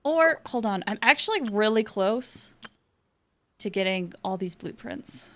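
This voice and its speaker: A woman's voice: mid-range voice, lively intonation, a little slow. Playful woman streamer chatting over a game. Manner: playful, with little exclamations and sound words. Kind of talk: casual chat while playing. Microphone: streaming microphone.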